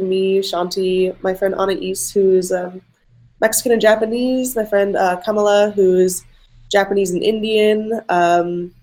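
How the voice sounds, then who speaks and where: A woman's voice: mid-range voice, darkish tone. A young woman, an artist guest, chatting casually in an interview, rambling with many "like" fillers. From mixed Egyptian and Irish family. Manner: rambling, heavy use of "like" fillers.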